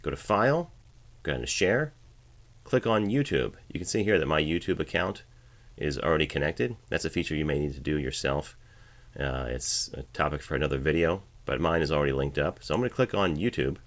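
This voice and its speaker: A man's voice: extremely low pitch, lively intonation, brisk. A man narrating an instructional screencast, explaining each step in a casual way as a voiceover artist giving a tutorial. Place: home voiceover studio, at the editing station.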